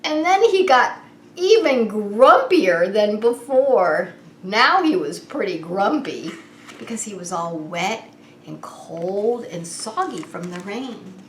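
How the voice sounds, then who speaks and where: A woman reading a picture book aloud to young children as a preschool story time host, expressive and unhurried, drawing the listeners in. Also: mid-range voice. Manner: animated, unhurried, asks the child listeners questions. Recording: roomy echo with faint background noise.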